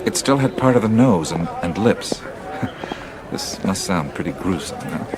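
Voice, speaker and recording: An actor in a radio drama playing a thoughtful, troubled adventurer who speaks in a hesitant, musing way. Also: male voice, deep voice, lively intonation, normal speed, very noisy. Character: thoughtful, troubled male adventurer seeking answers.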